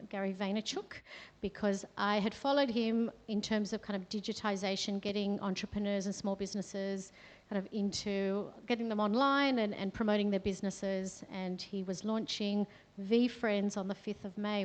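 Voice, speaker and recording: A woman from Australia with an Australian accent, telling a chatty, story-led talk to a meetup audience with many fillers. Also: mid-range voice. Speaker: guest speaker. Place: event room with a live audience. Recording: long reverb in a venue hall.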